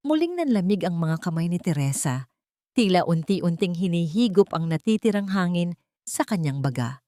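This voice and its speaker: A woman narrating a scripted dramatic story in a measured, solemn, expressive manner. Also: fairly low pitch, no room sound.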